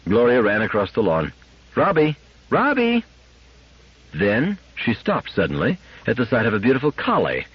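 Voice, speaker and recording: A man's voice: on the low side, husky, no room sound. A man narrating a scripted audiobook story expressively. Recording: dull lo-fi radio-like audio.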